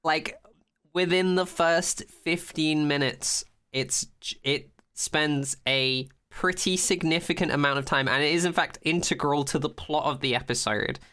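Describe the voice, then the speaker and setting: A man's voice: a little high, measured pace, bright, forceful tone, smooth. A man co-hosting a podcast, chatting casually in blunt, slangy phrasing with free swearing. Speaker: podcast host.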